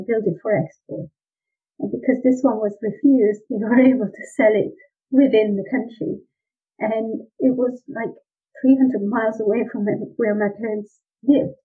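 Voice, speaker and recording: A woman from Romania, a guest on an interview, explaining sales mindset in an earnest way. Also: on the high side. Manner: earnest, explanatory, laughs lightly at times. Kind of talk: conversational interview. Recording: husky voice over a tinny phone-like line.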